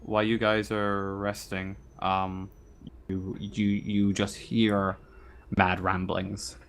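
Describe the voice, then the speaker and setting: A man acting as game master, narrating a tabletop roleplaying game casually and haltingly. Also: on the low side, monotone, fairly bright tone, a little hiss or hum. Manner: halting, feeling out descriptions as he goes.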